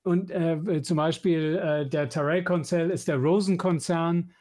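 Higher-voiced man chatting as podcast co-host. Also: steady tone, unhurried, a little mellow. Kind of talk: casual chat about audio dramas and films.

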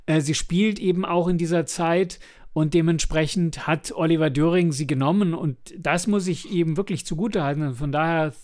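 A man's voice: fairly high pitch, steady tone, moderate pace, darkish tone. A man co-hosting a podcast, discussing and reviewing in a casual, rambling way as he searches for words. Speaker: podcast co-host and audio drama maker.

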